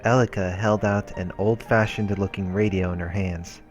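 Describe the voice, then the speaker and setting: Casting: male gaming host narrating story text, deep-pitched, with background game music. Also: steady tone, moderate pace. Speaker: let's play host. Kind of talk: reading visual novel narration aloud with brief reactions.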